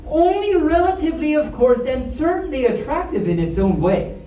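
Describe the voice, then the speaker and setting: A storyteller of unclear sex voicing a sly, self-satisfied wolf in a soft, drawn-out way for a bedtime story. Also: sits high, big pitch swings, slight background noise, pitched above the performer's own voice. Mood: smug. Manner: soft, slow, drawn-out delivery. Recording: echoey room, recorded from far off.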